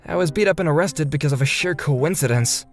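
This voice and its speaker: A man's voice: fairly high pitch, brisk, soft background music, lightly acted. A man playing an earnest, wronged but forgiving young man who narrates his story in a manga dub. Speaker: narrator and lead character.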